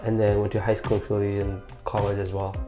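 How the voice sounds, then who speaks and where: A man's voice: lowish voice, level tone, fast, music in the background. A man in his late twenties from Bangladesh telling his story as an interview guest, rambling with lots of fillers and restarts. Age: late 20s. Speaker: interview guest, squash coach.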